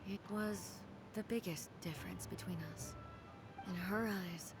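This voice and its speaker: A woman voicing a calm, composed goddess ruler in a video game, speaking in a formal, measured way. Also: lowish voice, music in the background. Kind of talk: game dialogue.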